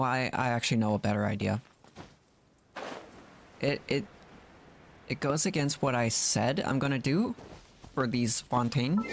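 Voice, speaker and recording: A man's voice: mid-range voice, slight background noise. A man doing casual live game commentary, talking to himself and reacting as he plays. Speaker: game streamer.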